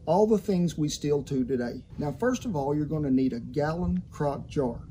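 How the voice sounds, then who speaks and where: A man's voice: on the high side, expressive, normal speed, noisy background. A man in his sixties with a Southern American accent hosts a cooking tutorial, talking in a folksy, colorful cowboy storyteller's way. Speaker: cooking show host. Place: outdoor patio kitchen in a yard. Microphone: lavalier clipped to shirt.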